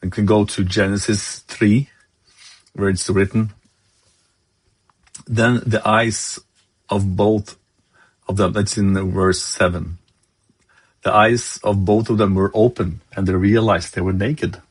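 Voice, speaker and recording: A man from Norway with a Norwegian accent, giving a devotional sermon in English, his second language, pausing often to search for words. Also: lowish voice, fairly even intonation, breathy edge. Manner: halting, searching for words, many fillers. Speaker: lay preacher.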